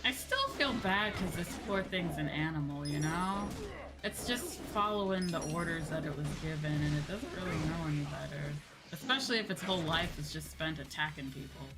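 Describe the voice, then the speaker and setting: A woman giving casual, rambling, soft-hearted live commentary as she streams a video game, speaking into a close mic. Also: deep voice, expressive, moderate pace, loud music behind the voice, rough recording.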